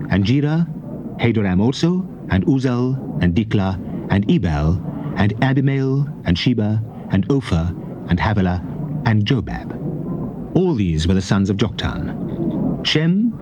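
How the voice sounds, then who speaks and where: A man narrating scripture as a scripted dramatic reading, reciting deliberately in short, measured phrases on an old cassette recording. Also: medium pitch, dull audio. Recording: noisy old cassette tape transfer.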